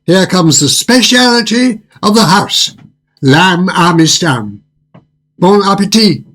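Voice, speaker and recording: An actor playing a suave, cryptic restaurant owner in a radio horror drama, speaking with formal and ominous courtesy. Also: male voice, sits high, lively intonation, takes it slowly, a bit bright, heavily acted. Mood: courtly, mysterious.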